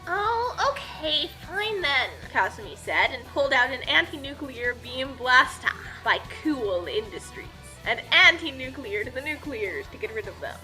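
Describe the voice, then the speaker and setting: A woman's voice: on the high side, lively intonation, moderate pace, few pauses, pushed and bright, soft background music, poor audio. A woman telling a comedic story as a scripted narration, casual and tongue-in-cheek.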